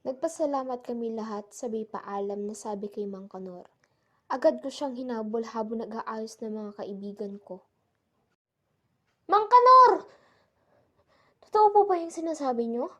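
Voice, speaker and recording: Young woman acting, high voice, first-person radio play narration, uneasy storytelling. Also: lively intonation, normal speed, pauses often, sharp tone. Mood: calling out. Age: young adult. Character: young woman recounting a frightening island trip.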